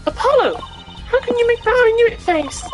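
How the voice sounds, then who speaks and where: A woman voicing an excitable, bubbly young girl sidekick in a video game, squeaky and insistent. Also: moderate pace, soft background music, low-quality recording. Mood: shocked, teasing. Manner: squeaky, exclaiming, theatrical.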